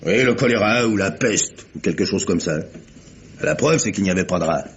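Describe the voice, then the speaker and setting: An actor playing a cocksure, blustering seafarer giving testimony in an old French radio drama, assertive and colloquial. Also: male voice, low voice, expressive, normal speed. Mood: insistent. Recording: dull, noisy old radio recording.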